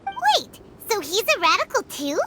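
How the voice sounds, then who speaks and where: A woman's voice: very high voice, wildly swooping pitch, measured pace, background noise, full character voice. A woman voicing a small, chatty female fairy companion in a video game cutscene, eager and gushing and referring to herself in the third person. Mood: excitable.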